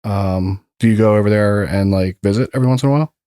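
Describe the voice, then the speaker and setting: Low, mellow-voiced male host. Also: fast, clear, resonant tone, no echo, clean studio sound. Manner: rambling, filler-heavy questions. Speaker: podcast host. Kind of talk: conversational interview.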